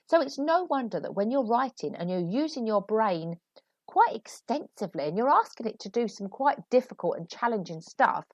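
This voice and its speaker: Lively woman with a cold. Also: a little high, moderate pace, no echo. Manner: chatty and animated, laughing asides. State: recovering from a cold, sniffly. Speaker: podcast host and author. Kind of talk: solo podcast advice talk.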